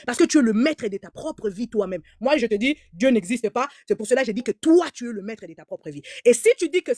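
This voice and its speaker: A woman's voice: a little high, animated, fairly quick, a little mellow, no room sound, studio quality. A woman giving heated live commentary in an emphatic, repetitive way, stammering as she restarts, whose first language is German. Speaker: online commentator.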